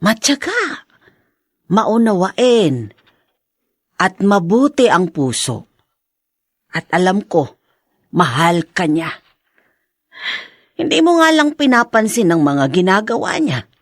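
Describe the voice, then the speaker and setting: A woman's voice: mid-range voice, very animated, takes it slowly, long pauses, no room sound, studio quality, exaggerated voice. An actress playing a patient older mother, earnest and admonishing, in a radio drama. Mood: earnest, pleading. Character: patient older mother counseling her daughter.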